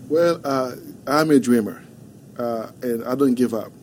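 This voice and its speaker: Haitian-accented male guest, mellow and warm, quiet earnest storyteller, faint hiss behind. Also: mid-range voice. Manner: soft-spoken, halting, earnest storytelling. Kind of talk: podcast interview. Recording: faint background noise.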